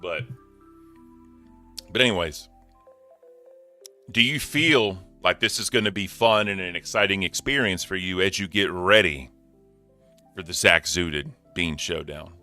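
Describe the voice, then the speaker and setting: A man with a deep, low voice that still cuts through sharply. He co-hosts a casual podcast chat, joking around and swearing freely. Faint music plays underneath. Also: measured pace, long pauses, sharp tone. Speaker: podcast co-host.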